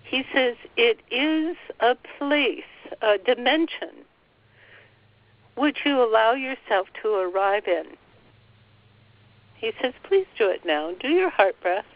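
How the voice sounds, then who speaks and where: A woman leading a slow guided meditation, softly passing on a spiritual guide's words phrase by phrase. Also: fairly high pitch, animated, no echo. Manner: soft, relaying every phrase with "he says". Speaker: channeler relaying a spiritual guide. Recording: dull, dry lo-fi recording.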